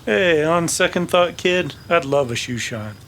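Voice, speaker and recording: A man playing a wry, gruff cowboy gunman with a folksy manner, in an old-time radio Western. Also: on the high side, animated, unhurried, fairly bright tone, a little hiss or hum, pitched above the performer's own voice. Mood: wry, friendly.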